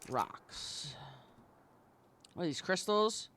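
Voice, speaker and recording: A woman live-streaming a game with casual, sweary commentary and expressive reactions. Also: lowish voice, very slow.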